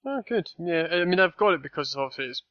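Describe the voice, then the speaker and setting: Bright man on dull lo-fi audio. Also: fairly high pitch, lively intonation, fast, no echo. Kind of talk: casual chat.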